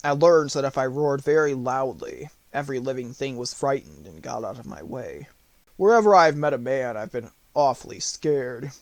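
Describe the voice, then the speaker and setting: A man's voice: medium pitch, moderate pace, no echo, lightly acted. A great beast of a storybook lion, acted by a man, who is thoroughly cowardly. He speaks mournfully, confessing his woes with self-pity. The sound is dry. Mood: sad, confessing. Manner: sorrowful, confessing.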